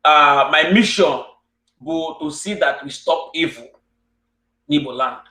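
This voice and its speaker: A man's voice: fairly high pitch, measured pace, sharp tone. Echoey, distant West African man. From Cameroon. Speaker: interviewer and host. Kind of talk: earnest monologue and interview questions. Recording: distant, echoey room sound.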